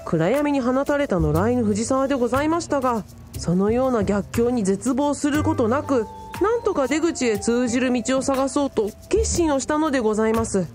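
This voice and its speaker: A woman's voice: sits high, lively intonation, moderate pace, darkish tone, soft background music. A woman playing an earnest young male part-timer in a radio drama, telling his tale with pompous, very polite theatricality. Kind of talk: radio drama, formal old-fashioned storytelling.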